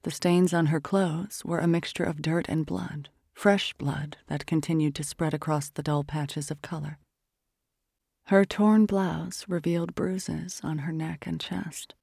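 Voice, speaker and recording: Audiobook narrator, dark and husky tone, hushed delivery in a dry close recording. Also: mid-range voice, fairly even intonation, dry recording. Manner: hushed, often whispered, tense and measured. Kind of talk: first-person literary audiobook narration.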